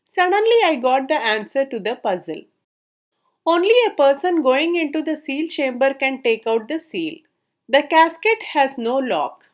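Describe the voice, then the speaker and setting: Woman voicing wise old male minister, high-pitched, formal, deferential, methodical, storybook tale.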